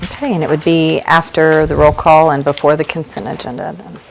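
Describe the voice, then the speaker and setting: A woman chairing a school board meeting, reading agenda items formally and briskly. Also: low voice, level tone, creaky, slight background noise.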